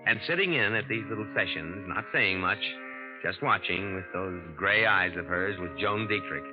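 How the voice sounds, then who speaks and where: An actor voicing a cool, low-voiced young con man. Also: normal speed, natural voice. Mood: hard-boiled. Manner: hard-boiled, cool, low-key. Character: smooth young male con man posing as grandson. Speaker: narrator. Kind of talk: 1940s radio acting. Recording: muffled old radio broadcast with music.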